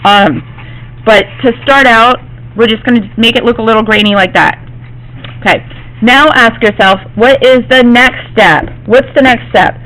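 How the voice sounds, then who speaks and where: A woman art teacher, slightly hoarse from losing her voice, gives a classroom demonstration and often checks in with her students. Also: on the high side, moderate pace, fairly bright tone, rough recording. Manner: reassuring, checks in with "okay?".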